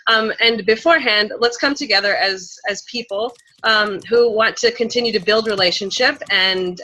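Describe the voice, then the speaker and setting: A woman's voice: mid-range voice, pushed and bright, rough recording. A woman speaking informally and conversationally as a panelist, often dropping "like" into her sentences. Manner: informal, frequent use of "like". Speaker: panelist, community organizer. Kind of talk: conversational panel discussion answer.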